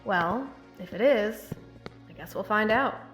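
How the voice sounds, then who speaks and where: A woman acting in an audio drama as a calm, protective town sheriff carrying grief, speaking in a measured, earnest way. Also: mid-range voice, lightly acted. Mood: calm, wry. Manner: measured, earnest, halting when emotional. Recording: echoey tunnel-like reverb with music.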